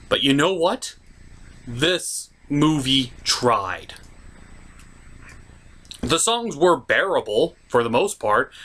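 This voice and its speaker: A man's voice: fairly high pitch, sharp tone. A man delivering a scripted review as host, in a theatrical, emphatic way. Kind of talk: scripted review commentary with puppet-show skits.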